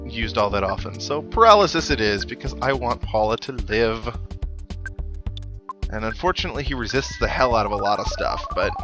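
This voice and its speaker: A man giving casual, wry commentary as he hosts a video game playthrough. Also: mid-range voice. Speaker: video game let's play host. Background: video game music.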